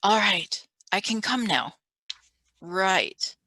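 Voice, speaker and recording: A woman's voice: medium pitch, drawn-out words, pushed and bright, dry recording, studio quality, full character voice. A woman playing a scheming lab assistant, controlled and matter-of-fact, in a radio play reading. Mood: guarded. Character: cool, scheming female lab assistant and conspirator.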